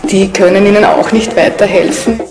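A woman explains in an unscripted interview at a medium pitch. The room echoes noticeably, and music plays behind her. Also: steady tone, normal speed. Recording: roomy, echoing interview.

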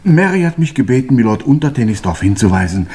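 An actor playing a discreet, obsequious manor servant in a 1950s radio mystery, formal and apologetic. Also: male voice, mid-range voice, big pitch swings, fast, mellow, close to an everyday voice. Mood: deferential.

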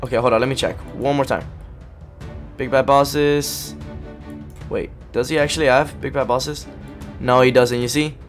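Young male gaming YouTuber, voice going hoarse, excitable and slangy, faint background music. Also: medium pitch, moderate pace, long pauses. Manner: excited, slangy, talks to viewers. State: hoarse, losing his voice. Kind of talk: casual gameplay commentary.

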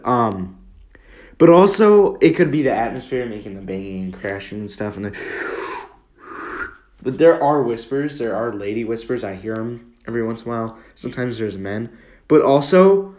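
A man's voice: medium pitch, darkish tone. Young American man, roomy echoey recording. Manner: hesitant, informal, rambling. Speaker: video creator. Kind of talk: casual rambling commentary. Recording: roomy echo, faint background noise.

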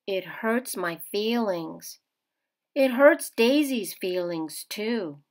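A woman reading a children's picture book aloud in an expressive way for young listeners. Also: medium pitch, takes it slowly. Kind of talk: children's storybook read-aloud.

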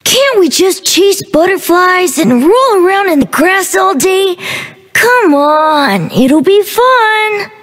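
A woman's voice: very high-pitched, big pitch swings, slow pace, a bit bright, long reverb tail, heavily acted. A woman voicing a playful, innocent baby dinosaur for a video game, with a childlike, bubbly, exaggerated delivery. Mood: playful, excited. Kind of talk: video game character voice lines.

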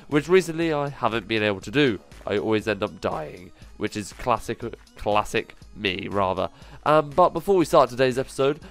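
Nasal, excitable gaming guy with a cold. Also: medium pitch. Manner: excitable, lots of exclamations. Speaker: gaming channel host. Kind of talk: gameplay commentary.

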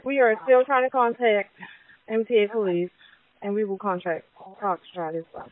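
A woman's voice: medium pitch, moderate pace, dry recording, narrow, tinny audio. A woman dispatcher making formal emergency announcements over a two-way radio. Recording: phone-thin dispatch radio transmission.

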